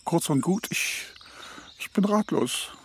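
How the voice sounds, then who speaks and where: A man's voice: a little high, animated, takes it slowly, creaky, husky, exaggerated voice. A man playing Good Advice come to life, a pompous, touchy, ponderous figure in a children's radio play. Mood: pondering. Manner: ponderous, pedantic, riddling.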